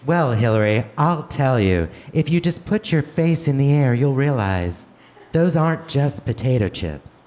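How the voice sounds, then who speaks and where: A man announcing in mock old-time radio style, with exaggerated, drawn-out salesmanship. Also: medium pitch, expressive, a little mellow. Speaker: radio show announcer and host. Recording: live theater stage, faint noise.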